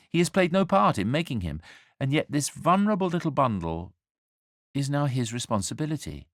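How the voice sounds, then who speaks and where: An actor playing an angel who narrates a radio drama in a measured, wry and confiding way, speaking directly to the listener as a compassionate storyteller. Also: male voice, medium pitch, no room sound, studio quality. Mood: tender, reflective.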